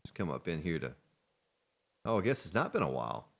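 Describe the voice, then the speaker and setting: A man's voice: extremely low pitch, huge pitch swings, fairly quick, creaky, fairly bright tone. A man casually commenting on his gameplay as a let's play host, chatting and joking with the characters on screen. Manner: chatty, jokes at game characters.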